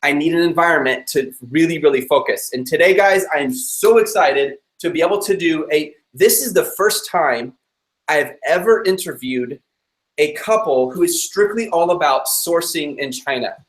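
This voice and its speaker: Man, enthusiastic live-stream host, slightly higher voice, roomy echo. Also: lively intonation, normal speed. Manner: eager, chatty, laughs easily. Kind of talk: upbeat live-stream interview. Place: his own office room.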